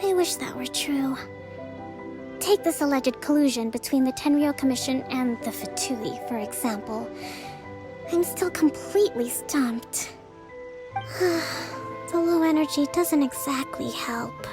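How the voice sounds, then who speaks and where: A composed young strategist and leader in a video game. She reasons things through in a measured, even manner, her voice sitting fairly high. Faint game music plays underneath. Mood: weary. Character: calm, thoughtful young female strategist leader. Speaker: game character.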